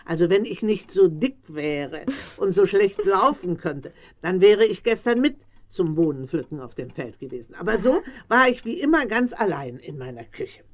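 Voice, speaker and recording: Eine Schauspielerin in der Rolle einer rundlichen, mütterlichen, redseligen älteren Bauersfrau aus einem Kinderhörspiel. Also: female voice, on the low side, expressive, normal speed, hardly any pauses, highs cut off. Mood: chatty. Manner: garrulous, fussing, uses pet names. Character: stout, motherly, chatty older farmer's wife, kind-hearted. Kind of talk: German children's audio drama acting.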